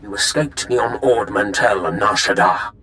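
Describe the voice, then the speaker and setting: An actor playing a vengeful, menacing Sith lord in a video game, with a gravelly, commanding, threatening delivery. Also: male voice, lowish voice, normal speed, bright, forceful tone, slight background noise. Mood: vengeful fury.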